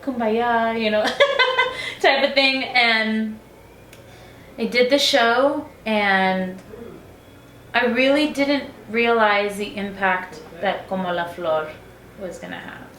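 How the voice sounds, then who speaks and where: A woman's voice: a little high, slow, fairly bright tone. Young woman, singer-songwriter guest, chatty, filler-heavy, roomy echo. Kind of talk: casual interview chat.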